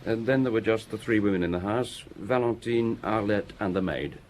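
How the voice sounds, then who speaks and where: An actor playing a diligent local police inspector who reports his findings in a brisk, matter-of-fact way in a radio drama. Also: lowish voice, a little hiss or hum, lightly acted.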